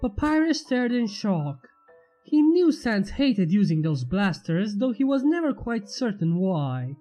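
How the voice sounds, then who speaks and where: A woman's voice: medium pitch, very animated, unhurried, warm tone, faint music behind. A woman narrating fan fiction as a channel host, reading scripted prose expressively.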